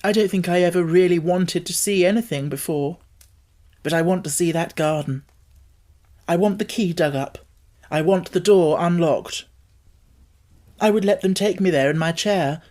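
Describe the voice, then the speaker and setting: A woman's voice: lowish voice, moderate pace, long pauses, darkish tone, pitched above the performer's own voice, close to an everyday voice. A woman voicing a sickly, imperious young boy who is curious and insistent in manner. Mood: demanding, excited. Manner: demanding, insistent.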